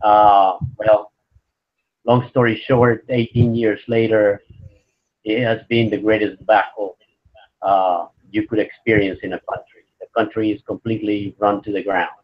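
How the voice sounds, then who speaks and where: A man's voice: fairly low pitch, little pitch movement, unhurried. Man in his fifties, lo-fi sound. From Venezuela. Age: 50s. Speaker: interview guest. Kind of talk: conversational interview answers.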